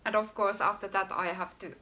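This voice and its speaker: A woman from Finland with a Finnish accent, hosting her own podcast about her knitwear design work in an unhurried, casual way. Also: mid-range voice, steady tone, bright, forceful tone. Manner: unhurried, pausing often with fillers and restarts. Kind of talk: casual solo podcast talk.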